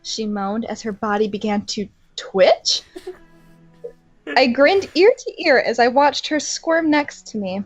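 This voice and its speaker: A woman's voice: a little high, lively intonation, takes it slowly, lo-fi sound, faint music behind. A young woman reading fan fiction aloud in a mock-dramatic way and adding sarcastic, giggly comments between passages.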